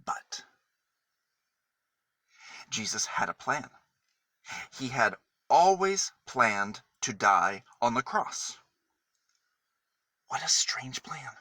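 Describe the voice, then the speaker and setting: Expressive, slow-reading man with a bright voice. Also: mid-range voice, no echo. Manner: dramatic, deliberate phrasing with emphatic pauses. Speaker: storyteller reading a children's book. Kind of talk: expressive read-aloud story for children.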